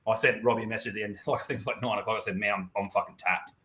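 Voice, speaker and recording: A man's voice: fairly low pitch, fairly even intonation, very fast, pushed and bright. An Australian man chatting casually and informally as a co-host on a live online stream. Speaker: co-host, member of a property team. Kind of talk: casual chat. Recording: roomy echo.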